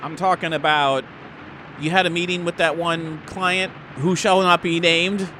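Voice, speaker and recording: Defensive young son for a tabletop game, played by a man: high, bright, stammering, pleading. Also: steady tone, measured pace, slight background noise. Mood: accusing, defiant. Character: defensive young man, aspiring actor, pleading with his father.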